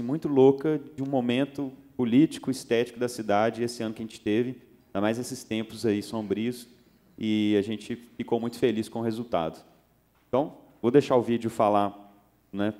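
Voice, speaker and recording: A man's voice: lowish voice, warm tone. A young man with a Minas Gerais accent, speaking casually on a conference panel, loose and full of fillers, into a handheld mic on a theater stage. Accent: Minas Gerais Portuguese. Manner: loose, gesturing, many fillers. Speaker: panel speaker, festival organizer.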